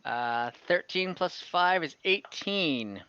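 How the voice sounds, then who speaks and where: A game master runs an online tabletop session at a slow, hesitant pace, thinking aloud while improvising the action. The voice is bright and forward. The recording sounds slightly dull. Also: mid-range voice, expressive, clean tone. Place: room, online voice call. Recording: muffled, echoey room.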